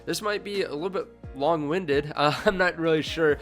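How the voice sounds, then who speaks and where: Young man, stuffy congested voice, casual finance host, lots of uhs and restarts, soft music behind. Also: a little high, moderate pace. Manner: rambling, many fillers and restarts. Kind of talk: casual direct-to-camera talk.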